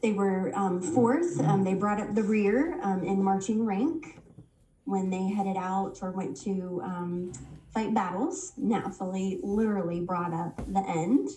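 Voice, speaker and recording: A woman getting over a head cold teaches a Bible study in a casual, halting way, with plenty of ums. Her voice is mellow and dark. She sits back from the mic, and the room rings around her. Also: medium pitch, reverberant, distant mic, a little hiss or hum, low-quality recording. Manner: unhurried, many ums and restarts.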